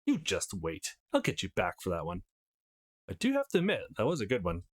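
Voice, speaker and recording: A man's voice: fairly low pitch, wildly swooping pitch, a little fast, husky, exaggerated voice. A man reading the part of a cocky but easygoing eighteen-year-old schoolboy in a visual novel, conversational and self-assured. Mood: playful.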